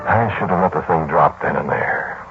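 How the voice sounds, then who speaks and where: A man's voice: a bass voice, normal speed, gravelly, narrow, tinny audio, over music. An actor playing a brooding young lodger, a mathematician, confiding his story in 1940s radio drama style on an old broadcast recording. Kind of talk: 1940s radio acting, first-person narration and dialogue.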